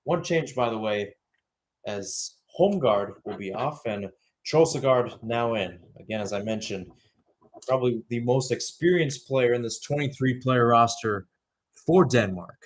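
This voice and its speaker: A man's voice: medium pitch, a little slow. A young man giving live radio-style soccer commentary, mixing clipped calls of the play with conversational asides. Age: young adult.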